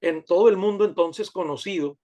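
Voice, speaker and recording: A fairly high-voiced man from the United States, a pastor answering interview questions. He talks in an earnest, preaching way, stopping and restarting his sentences. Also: a little fast, a little mellow. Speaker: pastor, guest. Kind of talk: conversational interview.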